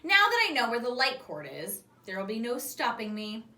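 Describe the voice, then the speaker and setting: A woman's voice: on the high side, big pitch swings, normal speed, pushed and bright. A woman reading a children's book aloud expressively, in the style of a teacher. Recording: echoey room, distant microphone.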